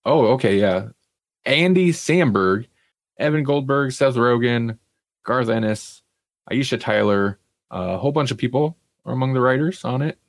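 A man's voice: mid-range voice, normal speed, vocal fry, dry recording, studio quality. A man hosting a podcast, casually chatting through entertainment news and sometimes reading aloud, with wry, self-deprecating humor. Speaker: podcast host.

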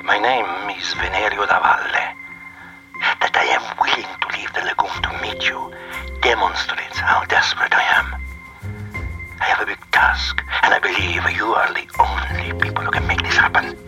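An actor playing a grave, secretive, desperate gentleman in an audio drama, speaking formally in a hushed, breathy voice. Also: fairly low pitch, fairly even intonation, moderate pace, in a whisper, music in the background, lightly acted, low-quality recording.

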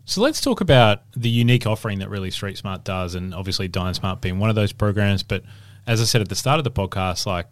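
A man hosting a podcast, asking questions with many "like" fillers. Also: lowish voice. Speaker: podcast host. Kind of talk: podcast interview.

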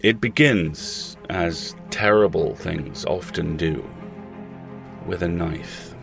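An actor playing an ominous, grave narrator in a trailer for a dark audio thriller, speaking deliberately. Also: male voice, low-pitched, gravelly, exaggerated voice. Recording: dramatic music bed behind.

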